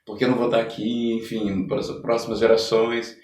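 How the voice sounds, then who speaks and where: A man's voice: lowish voice, level tone, fairly quick, a little mellow. A man with a Brazilian Portuguese accent hosting a podcast, interviewing in a conversational way. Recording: echoey room, distant mic.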